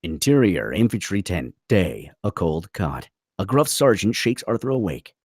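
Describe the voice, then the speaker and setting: A man with a low voice narrates a screenplay, reading scene headings and action. His delivery is dramatic with lively swings in pitch, recorded close and dry. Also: moderate pace, dry recording. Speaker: narrator reading stage directions. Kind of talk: dramatic scripted narration at a table read.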